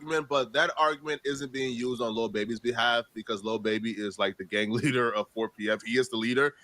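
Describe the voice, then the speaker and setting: A man hosting a reaction show, giving casual, animated commentary in slangy street talk. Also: mid-range voice, a little fast. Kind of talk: casual commentary on court news.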